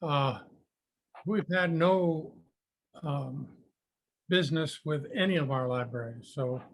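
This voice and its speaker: A man's voice: a little high, takes it slowly, poor audio. A man giving a brief, informal report as a club librarian on a video call.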